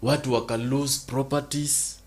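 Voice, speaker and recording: A man's voice: medium pitch, fairly even intonation, normal speed. Kenyan-accented man, mid-range voice, pushy debater who repeats himself. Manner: insistent, repeats himself, mixes English and Swahili slang. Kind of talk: casual debate.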